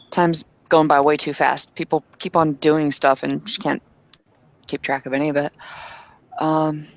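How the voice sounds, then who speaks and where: Woman, roleplay player, slow, low voice, dry sound. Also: fairly bright tone, no room sound. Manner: hesitant, many fillers. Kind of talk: narrating a tabletop roleplay.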